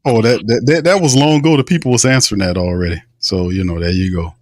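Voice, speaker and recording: A man's voice: on the low side, fairly even intonation, quick pace, no room sound, clean studio sound. A man with a New Orleans accent co-hosting a casual sports podcast, talking animatedly and often repeating himself as he restarts. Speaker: podcast co-host.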